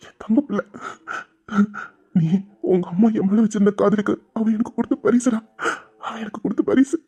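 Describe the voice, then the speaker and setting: Woman playing a husky, muffled, doting young husband. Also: medium pitch, fast, warm tone. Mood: tearfully tender. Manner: tender, cooing, protective. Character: doting, emotional young husband and new father. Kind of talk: melodramatic Tamil audio drama. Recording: dull, muffled lo-fi sound.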